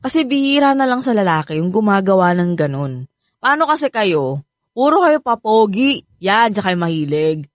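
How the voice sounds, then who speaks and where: A woman's voice: medium pitch, very animated, moderate pace, no echo, studio quality, lightly acted. An actress playing a frank, exasperated young female friend in a Filipino radio drama, lecturing bluntly with a Filipino accent.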